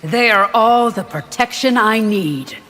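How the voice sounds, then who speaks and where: An actress playing a devout, righteous warrior guardian in a fighting game, stern and resolute, with solemn, pious phrasing. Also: female voice, a little high, expressive, unhurried, soft background music. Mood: resolute defiance. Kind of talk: fighting-game confrontation dialogue. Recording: long hall reverb, loud noise.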